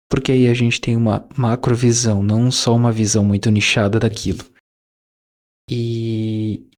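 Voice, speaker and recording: A man, talking casually to his viewers in a reflective monologue, rambling with fillers and self-corrections. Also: mid-range voice. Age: 20s. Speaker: YouTuber.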